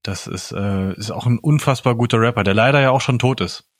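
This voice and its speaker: A man's voice: medium pitch, a little fast, no room sound, clean studio sound. A man whose first language is not German, hosting a casual chat with enthusiastic, slangy reactions. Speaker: podcast host.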